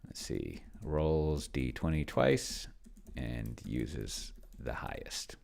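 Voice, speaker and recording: Deep-voiced man thinking aloud, casually rambling. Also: very animated, very slow, gravelly, a little mellow. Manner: rambling, musing, trails off into mutters. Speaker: tabletop game player and video creator. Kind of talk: casual screen-share commentary, thinking aloud.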